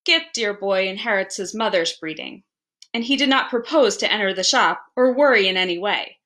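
A woman reading a story aloud as narrator, with deliberate, theatrical phrasing. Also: medium pitch, expressive, sharp tone. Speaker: library staff reader.

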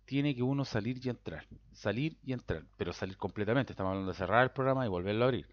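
A Chilean man with a Chilean Spanish accent, walking through a software setup in a casual screen-recorded tutorial, rambling and checking in with a constant "¿ya?". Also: on the low side, fast, slight background noise. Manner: rambling, repeats words, tags "¿ya?" constantly. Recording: dull lo-fi sound.

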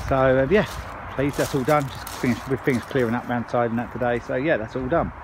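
A man's voice: medium pitch, steady tone, a little fast, noisy background. A middle-aged man, a handyman, chatting casually with lots of ums as he shows finished work outdoors on a body-worn camera. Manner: chatty, many ums and restarts. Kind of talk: casual on-the-job narration. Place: outdoors at a driveway gate. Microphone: body-worn action camera.

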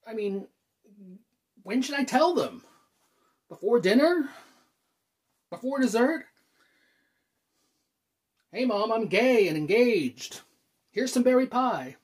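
Man acting anxious, halting thirty-year-old, widely swinging pitch. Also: expressive, slightly rough, full character voice. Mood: panicky, torn. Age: 30s. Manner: halting, nervous, sighs and stammers. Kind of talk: dramatic monologue, self-taped acting.

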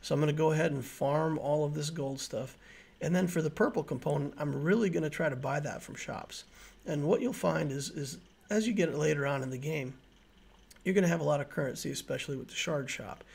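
Guy in his 40s walking thru game strategy, kinda husky n creaky, chatty teacher vibe. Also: on the high side, darkish tone. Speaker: gaming video host. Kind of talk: explanatory slide presentation, conversational.

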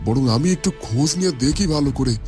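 Guy doing kindly merchant dad in a village cartoon, folksy, normal pitch, bit of music. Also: animated, fast, darkish tone, natural voice. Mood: thoughtful. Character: kindly middle-aged village merchant and devoted father. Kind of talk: Bengali cartoon dialogue.

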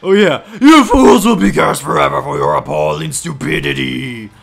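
Man putting on a loud, gloating cartoon demon villain. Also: fairly high pitch, animated, moderate pace, vocal fry, soft background music, heavily acted. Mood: gloating, theatrical. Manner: bombastic, overdramatic, bumbling villain delivery. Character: gloating, theatrical evil cursed chest demon.

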